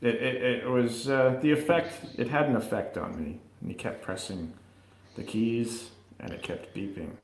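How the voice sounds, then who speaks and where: A man's voice: medium pitch, steady tone, normal speed. A man bantering casually as co-host of a film review show, with a wry, jokey manner. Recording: roomy, echoing sound.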